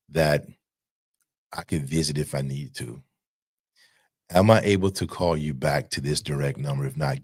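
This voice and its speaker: Very deep-voiced man giving emphatic advice. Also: no room sound, clean studio sound. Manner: emphatic, repeats phrases, checks with "right?". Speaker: insurance broker giving advice. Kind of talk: informal advisory talk to camera.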